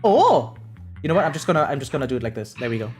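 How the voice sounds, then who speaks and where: An excitable variety streamer with a mid-range voice and very lively, swinging intonation. The talk is casual live commentary, slangy and sweary, addressed to viewers, with music faintly behind it. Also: mid-range voice, rapid-fire.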